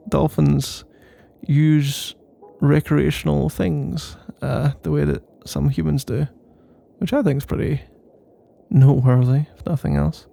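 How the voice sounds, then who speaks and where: A man's voice: a little high, vocal fry, dark tone. A man doing a casual, rambling ASMR boyfriend roleplay in a playful, mock-boastful and meandering way.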